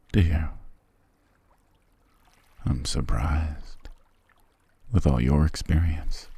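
Casting: male actor for a seductive siren posing as a castaway, extremely low gravelly voice, soft, soothing and sly, intimate ASMR roleplay. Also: no echo, full character voice. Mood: teasing, sly. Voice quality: breathy, husky, half-whispered. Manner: slow, soft, coaxing.

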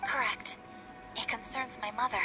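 A woman playing a refined, gentle young noblewoman in a video game, speaking formally and politely. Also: on the high side, steady tone, moderate pace, lightly acted. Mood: earnest, reserved. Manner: formal, polite, carefully articulated phrasing. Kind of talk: scripted video game dialogue. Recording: music behind the dialogue.

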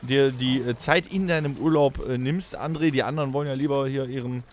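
A man's voice: medium pitch, fairly even intonation, brisk, a little hiss or hum. A middle-aged man from Germany hosting a podcast, chatting casually and rambling with self-mocking asides. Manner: rambling, self-mocking, lots of filler sounds. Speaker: podcast host. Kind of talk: casual chat in a staged holiday audio play.

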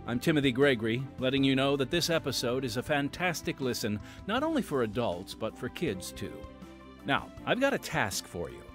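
Animated male podcast host over music. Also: medium pitch, normal speed. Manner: addresses listeners directly as friends. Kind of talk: scripted podcast introduction.

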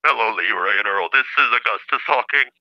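A man voicing an indignant caller in a comedy phone-in, with a stilted, insistent, synthesizer-like flat delivery over the telephone. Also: on the low side, a little fast. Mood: aggrieved. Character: indignant male caller claiming to be a famous scientist's brother, robotic-talking. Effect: telephone call. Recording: dull lo-fi phone line.

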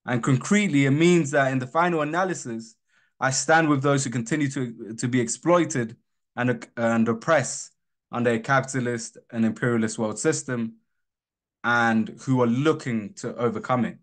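A young man from Colombia with a British accent, presenting his research in a measured, earnest academic talk. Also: mid-range voice.

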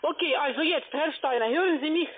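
A woman speaking as a call centre agent, insistent and halting, on a phone call. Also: sits high. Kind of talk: phone sales pitch. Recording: tinny narrow telephone line.

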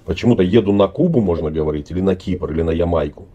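A man's voice: deep voice, fast, mellow, highs cut off. A middle-aged man on a webcam video chat in a room, asking questions with a feigned naive manner. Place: indoor room.

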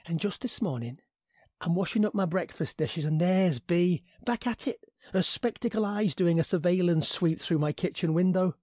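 An actor plays a young bachelor at the end of his patience. His voice sits a little high for a man, and he gripes with sardonic sarcasm in an audio drama monologue. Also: moderate pace, dark tone, no echo, studio quality. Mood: irritated, sardonic. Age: young adult. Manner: sardonic, griping.

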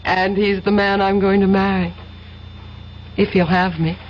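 A woman's voice: mid-range voice, flat delivery, a little slow, lightly acted. Actress as a sweet candid young woman in an old radio play, earnest and teasing, dull sound w/ faint hiss. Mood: affectionate, teasing. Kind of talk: 1970s radio drama acting. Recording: dull, noisy 1970s radio recording.

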